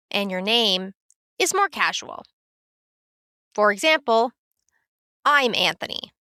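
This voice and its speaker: A woman teaching a beginner English lesson in a careful, clear, scripted way. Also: mid-range voice, bright, forceful tone, no echo, studio quality. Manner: clear, careful, instructive delivery.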